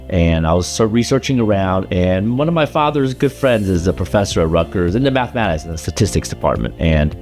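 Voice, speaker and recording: A man in his thirties or early forties from South Carolina, born in China, reminiscing in a conversational interview with halting, thoughtful phrasing. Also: low-pitched, darkish tone. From grew up in South Carolina, born in China. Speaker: law school alumnus, interviewee.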